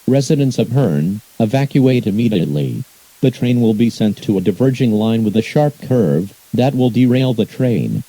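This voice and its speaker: A man's voice: medium pitch, animated, moderate pace, mellow, dry recording, low-quality recording. A man reading scripted emergency alerts as an announcer, in a formal, impersonal, synthetic-sounding delivery.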